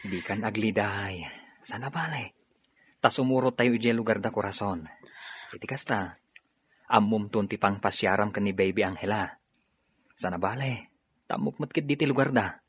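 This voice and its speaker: Man playing a gentle, coaxing husband. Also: fairly low pitch, moderate pace, pauses often, breathy edge, no echo. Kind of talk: Ilocano radio drama acting. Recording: dull lo-fi audio.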